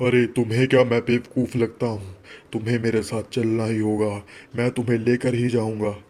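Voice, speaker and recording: A man performs a gloating male ghost from an animated village horror story. He is menacing and taunting, drawing out his words at a middle pitch. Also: moderate pace, close to an everyday voice.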